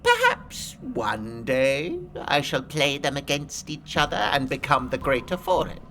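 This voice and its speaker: A man's voice: on the high side, wildly swooping pitch, unhurried, bright, forceful tone, exaggerated voice, low-quality recording. An actor playing a sly, domineering ratman emissary in a fantasy audio drama, with a hissing, imperious, scheming manner. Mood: scheming, gloating.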